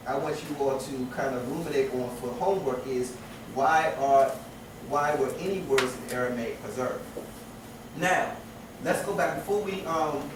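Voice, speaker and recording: A man's voice: mid-range voice, noisy background. Man lecturing from far off in an echoey room. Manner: repeats and restarts phrases, asks rhetorical questions. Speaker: teacher. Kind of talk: classroom lecture.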